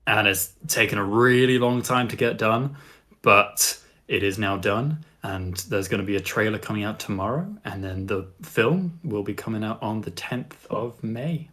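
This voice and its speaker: Bright-voiced young man, low-pitched. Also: moderate pace. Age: young adult. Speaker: podcast co-host. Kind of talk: casual group chat.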